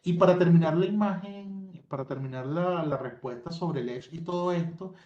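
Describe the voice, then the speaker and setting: A man with a Venezuelan accent talks through a technical presentation, his voice mellow and warm. The sound is dull and roomy, like a video call from a home room. He explains things at length and often restarts a phrase to fix it. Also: on the high side, moderate pace. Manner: explanatory, technical, self-correcting. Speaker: tech presenter. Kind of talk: webinar presentation. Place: home office in Colombia. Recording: dull, roomy webinar audio.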